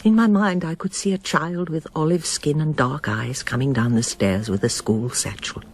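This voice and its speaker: A woman's voice: low voice, normal speed, breathy edge. A woman playing a reflective, hesitant older woman haunted by her past in a radio drama. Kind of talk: radio drama acting and first-person narration.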